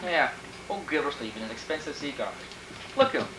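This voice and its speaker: A man acting a wisecracking husband, bright and lively. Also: a little high, brisk. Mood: grumbling, mocking. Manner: folksy, slangy, wisecracking. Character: grumbling, wisecracking husband, folksy and sarcastic. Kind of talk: old-time radio comedy acting on stage. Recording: roomy stage recording with faint noise.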